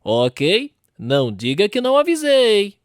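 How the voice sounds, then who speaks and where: A man's voice: high-pitched, wildly swooping pitch, measured pace, no echo, full character voice. A man voicing a cautious, earnest elder pig brother in a children's cartoon. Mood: cautious, concerned. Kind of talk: children's cartoon character acting.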